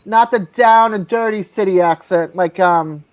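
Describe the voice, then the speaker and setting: A man's voice: sits high, measured pace. Man with a slight Boston accent, muffled. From born near Miami, raised mostly in New England. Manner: rambling, self-correcting, chuckles. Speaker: guest. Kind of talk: casual chat.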